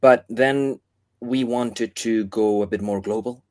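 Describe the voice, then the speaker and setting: Argentine-accented man, low voice, dry sound. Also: steady tone, slow, a little mellow, no room sound. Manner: thoughtful, with frequent restarts and fillers. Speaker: guest, foundation chief of staff. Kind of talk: casual interview talk.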